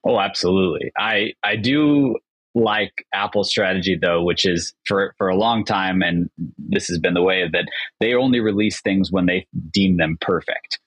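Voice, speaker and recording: A man's voice: low voice, moderate pace, a bit bright, breathy edge, no echo, rough recording. A man, an agency founder appearing as a podcast guest, talking in an upbeat, enthusiastic way in long, flowing sentences. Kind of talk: casual interview chat.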